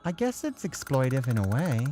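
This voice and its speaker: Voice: mid-range voice, very animated, normal speed, dark tone, soft background music, natural voice. A performer reading a reserved, weary senior male office worker in a visual novel. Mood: reserved, resigned. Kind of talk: visual novel character read-aloud.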